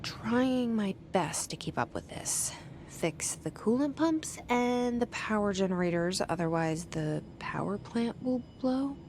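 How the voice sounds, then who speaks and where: An actress playing a determined young woman, newly made director, who speaks in a calm, dry and understated way. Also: mid-range voice, a little slow, a little hiss or hum. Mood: wry.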